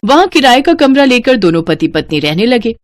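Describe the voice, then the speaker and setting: A woman's voice: on the high side, very animated, fairly quick. A woman narrating a scripted family moral story as a storyteller.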